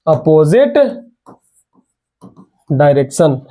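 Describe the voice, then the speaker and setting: Young guy coaching a reasoning class, fairly high voice, sore throat, keeps pushing students to answer fast. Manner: energetic, urging students, repeats key words. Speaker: reasoning teacher. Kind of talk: online exam coaching class.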